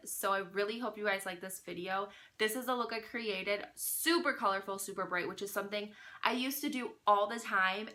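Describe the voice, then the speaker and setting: A woman's voice: mid-range voice, pushed and bright. A young woman beauty vlogger with a slightly nasal, congested voice from a cold, chatting to viewers while doing her makeup. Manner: chatty, addresses viewers as you guys. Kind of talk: casual makeup tutorial chat.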